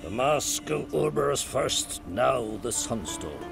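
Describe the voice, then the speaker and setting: An actor playing a crusty, knowing older islander in a fantasy game, with gravelly voice and measured, archaic phrasing. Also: male voice, medium pitch, big pitch swings, exaggerated voice. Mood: knowing, dry. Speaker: supporting character in a fantasy video game. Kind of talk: game cutscene dialogue. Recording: music behind the voice.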